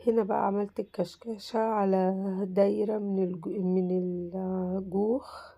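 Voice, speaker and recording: A woman with an Egyptian Arabic accent, hoarse from a cold, casually talking through a simple craft tutorial and reassuring viewers that it is very easy. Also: medium pitch, flat delivery, unhurried, mellow, dry recording. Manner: chatty, reassuring, repeats "very easy". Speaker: crafts channel host.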